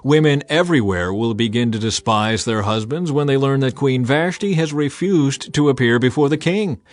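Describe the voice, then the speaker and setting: A man's voice: medium pitch, close to an everyday voice. A man voicing a stern, formal royal adviser in a dramatized scripture reading, speaking in a declamatory manner.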